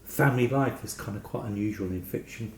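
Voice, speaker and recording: A man's voice: fairly low pitch, a little fast. A man interviewing in an informal literary discussion, with a tentative, thoughtful way of talking. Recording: echoey room, faint background noise.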